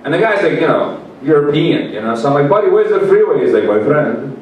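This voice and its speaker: Brash profane stand-up man, lively pitch. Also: quick pace. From Russia, raised in Queens, New York. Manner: brash, profane, crowd-working. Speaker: stand-up comedian. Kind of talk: stand-up comedy set. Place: comedy club, live audience. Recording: echoey club, distant mic, loud laughing crowd.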